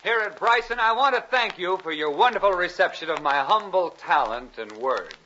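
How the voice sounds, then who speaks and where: An actor playing a vain, pompous, theatrical singing star in a 1950s radio drama. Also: male voice, high-pitched, lively intonation, normal speed, bright, forceful tone, a little hiss or hum. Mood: grandly earnest. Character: vain, affected male singing star, self-important and gullible. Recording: dull 1950s radio recording, music behind.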